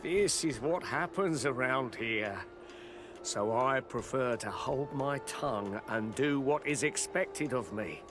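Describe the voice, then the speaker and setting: A man's voice: medium pitch, expressive, slow pace. A man playing a courteous, fearful shopkeeper in a video game, hesitant and guarded as he talks. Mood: nervous, guarded. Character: fearful, courteous male shopkeeper under threat. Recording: music behind the voice.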